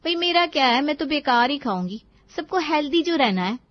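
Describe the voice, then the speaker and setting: Irritable young daughter played by a female narrator, cartoon family story. High, forceful delivery, sarcastic and complaining. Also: lively intonation, brisk, dry recording, highs cut off. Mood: taunting. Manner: complaining, taunting.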